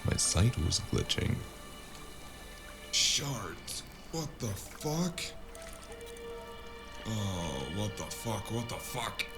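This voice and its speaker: A man's voice: fairly low pitch, very animated, extremely slow, long pauses, over loud music, heavily acted. A man playing a battered, sardonic, world-weary investigator in a cyberpunk noir audio drama. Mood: dazed, pained.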